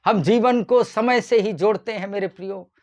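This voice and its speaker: A man preaching a short devotional sermon as a pastor, addressing his listeners in a deliberate manner. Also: high-pitched, a little mellow. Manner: deliberate, repeatedly addressing listeners as dear ones.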